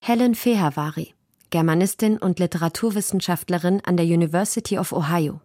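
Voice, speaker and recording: A woman narrates a radio feature from a script. Her voice is on the low side, and her delivery is that of a factual documentary announcer. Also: brisk, warm tone.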